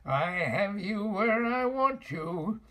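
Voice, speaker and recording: A man voicing a crafty, scolding old witch in a storybook, with theatrical drawn-out exclamations. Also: sits high, lively intonation, fairly bright tone, pitched above the performer's own voice, full character voice. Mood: triumphant.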